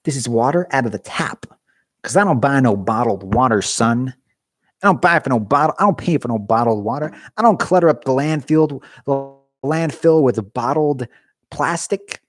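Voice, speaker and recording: A man's voice: mid-range voice, expressive, normal speed, no echo. Rambling male streamer, dry garage sound. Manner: rambling, joking asides to viewers. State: losing his voice. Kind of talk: casual live-stream chat.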